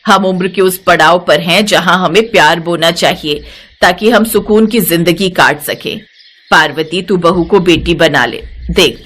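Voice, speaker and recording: A woman's voice: on the low side, fairly bright tone. A woman playing an earnest older mother-in-law in a cartoon moral story, speaking in an admonishing way. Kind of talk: acted Hindi moral-story cartoon dialogue.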